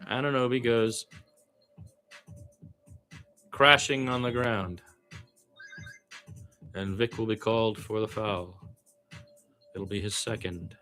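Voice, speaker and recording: A man's voice: on the low side, fairly even intonation, slow, long pauses, sharp tone, faint music behind. A man doing amateur radio-style basketball play-by-play, conversational, with his own opinions mixed in.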